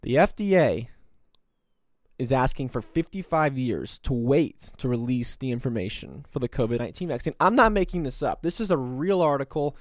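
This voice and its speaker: A man's voice: medium pitch, animated, moderate pace, no echo, studio quality. A man in his twenties hosting a solo podcast, talking in a sarcastic, combative, rambling way. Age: 20s. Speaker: podcast host. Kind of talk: opinionated solo commentary.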